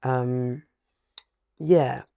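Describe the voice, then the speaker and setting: A young man from France speaks English with a French accent, his voice gravelly with a dark, mellow color. He pauses often to find his words. The sound is close and dry, with no room around it. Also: medium pitch, fairly even intonation, no room sound. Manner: hesitant, searches for words. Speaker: guest. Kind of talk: casual chat.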